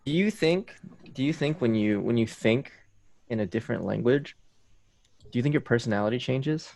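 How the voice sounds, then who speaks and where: A man's voice: mid-range voice, animated, moderate pace, vocal fry, darkish tone. A man in casual group talk, curious and asking questions. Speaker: podcast guest. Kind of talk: casual chat.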